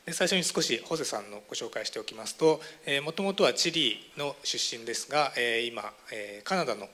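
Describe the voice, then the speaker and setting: A man's voice: medium pitch, lively intonation, racing, bright, forceful tone. A man moderating a conference session, giving a formal introduction with frequent hesitations and fillers.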